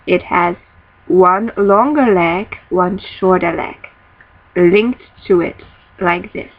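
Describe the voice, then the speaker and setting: A young woman, a native Dutch speaker, teaching a casual spiritual lesson from a small room, often pausing to find words. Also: on the low side. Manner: thoughtful, searching for words, restarts often. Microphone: built-in laptop webcam mic, close. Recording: dull lo-fi webcam audio.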